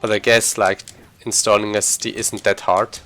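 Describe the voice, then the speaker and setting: A man's voice: on the low side, flat delivery, moderate pace, pushed and bright, soft background music. A man giving casual, rambling live commentary as he speedruns a video game, thinking aloud as he plays. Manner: rambling, informal, thinking aloud while playing.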